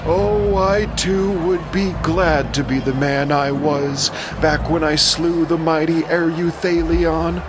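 A man playing a proud, wise old veteran horseman in an epic audio drama, speaking in a measured, declamatory way. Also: fairly high pitch, steady tone, over music, voice pushed up. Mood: wistful.

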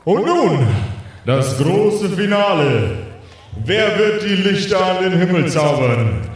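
A grandiose magic-contest announcer, acted by a man, booming out from far off in heavy echo. He stretches his words very slowly in a showman's sing-song for a tabletop audio drama. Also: animated. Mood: grand suspense. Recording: echoing, distant arena-announcer sound.

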